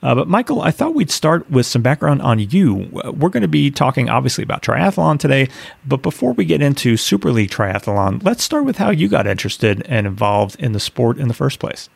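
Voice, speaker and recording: A man's voice: mid-range voice, a little fast, no echo. A man hosting a podcast interview, reading a scripted intro and asking questions. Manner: smooth scripted intro, then relaxed questions. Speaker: podcast host.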